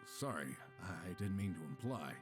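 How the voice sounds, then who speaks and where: A man plays a kind-hearted young detective in a video game. He is earnest and insistent, with a lively, swinging pitch. Music plays clearly behind him. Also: measured pace. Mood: determined.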